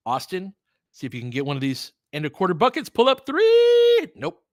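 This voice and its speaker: A man's voice: high voice, huge pitch swings, normal speed, no room sound, clean studio sound. A man giving casual live basketball play-by-play, chatting conversationally as a partisan fan in short, clipped phrases. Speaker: basketball play-by-play host and commentator.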